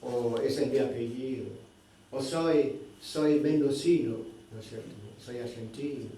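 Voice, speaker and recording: A man with a soft, mellow tone teaches a scripture class, often pausing to hunt for a word and tagging his sentences with a questioning check. His voice rings through a very echoey hall. He sounds a little distant from the microphone, over a faint background hiss. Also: medium pitch. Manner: searching for words, constant "¿no?" tags. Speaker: spiritual teacher. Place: indoor temple hall.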